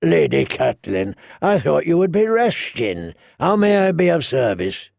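A man's voice: on the high side, very animated, normal speed, darkish tone, no room sound, studio quality. Man voicing a gracious, deferential nobleman. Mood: welcoming. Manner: polite, smooth-mannered, deferential. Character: gracious, courteous lame nobleman. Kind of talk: audiobook character dialogue.

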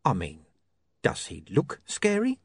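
A man voicing a smooth, calmly menacing male criminal in a storybook reading. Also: mid-range voice, takes it slowly, no echo, pitched above the performer's own voice, heavily acted. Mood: coaxing. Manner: calm reasoning menace.

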